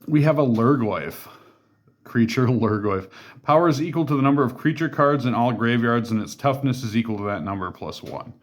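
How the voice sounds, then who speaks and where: A man reviews a card game deck in a loose, conversational way. He reads each card's text aloud, then adds asides and fillers as he talks about it. His voice sits at an ordinary middle pitch. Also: animated, moderate pace. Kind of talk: casual deck walkthrough.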